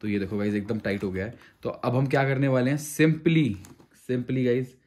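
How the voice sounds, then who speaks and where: A man's voice: mid-range voice, steady tone, normal speed, a little mellow, a little hiss or hum. A man explains a product step by step in a conversational vlog style, mixing in English phrases. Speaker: motorcycle gear reviewer. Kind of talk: casual hands-on product tutorial.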